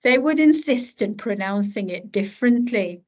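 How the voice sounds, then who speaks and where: A woman's voice: medium pitch, lively intonation, normal speed, darkish tone. A woman from Palestine, a language-education academic, speaking earnestly as an interview guest, with frequent fillers and repeated words. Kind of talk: reflective interview conversation.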